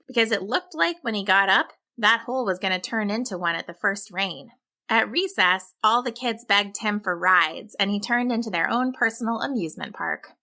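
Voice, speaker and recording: A woman reading a scripted children's story as a storyteller, with an expressive, deliberate delivery. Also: medium pitch. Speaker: storyteller, podcast host.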